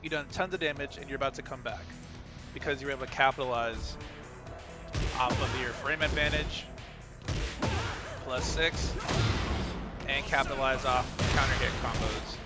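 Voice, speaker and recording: A man's voice: medium pitch, steady tone, moderate pace, pauses often. A man giving casual, instructive gameplay analysis, talking informally as he coaches a player through moves. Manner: instructive, counts out inputs aloud, informal. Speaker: video creator coaching a fighting game player. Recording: loud game music and sound effects behind. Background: loud fighting game music and effects.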